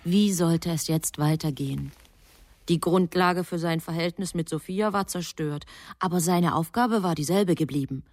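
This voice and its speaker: A woman narrating a literary radio drama from a script in a composed, measured storytelling manner. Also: lowish voice, no echo.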